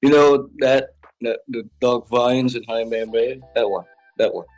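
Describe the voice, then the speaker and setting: A man answering casually as an interview guest, halting and repeating short phrases as he goes. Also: medium pitch, steady tone, a little mellow, low-quality recording. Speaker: interview guest, web series creator. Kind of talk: casual chat. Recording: music playing behind. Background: music.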